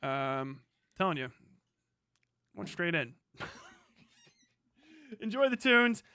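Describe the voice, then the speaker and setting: A man's voice: high-pitched, big pitch swings, very slow, sharp tone, smooth, no room sound, clean studio sound. A man hosting a casual livestream, talking in a blunt, profane, mocking way. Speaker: livestream host and podcaster. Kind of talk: casual livestream rant and commentary.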